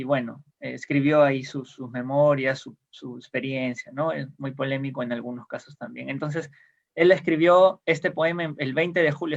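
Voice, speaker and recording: A man's voice: on the high side, creaky, highs cut off. A man from Peru, a guest explaining ideas in casual conversation, hesitant with many fillers and restarts, heard over a video call.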